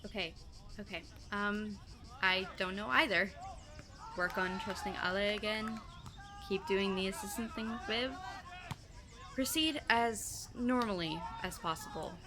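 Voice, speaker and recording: A woman's voice: medium pitch, unhurried, close to an everyday voice. A woman playing a sarcastic, protective teenage best friend in an audio drama, with blunt, slangy teasing. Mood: conciliatory.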